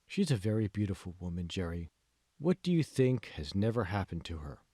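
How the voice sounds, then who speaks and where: A man playing a calm, manipulative male mentor in an audiobook, speaking slowly and deliberately with hushed, condescending questions. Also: low voice, slightly rough, dry recording, clean studio sound, pitched below the performer's own voice, heavily acted. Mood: teasing, controlled. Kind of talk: dramatic audiobook dialogue.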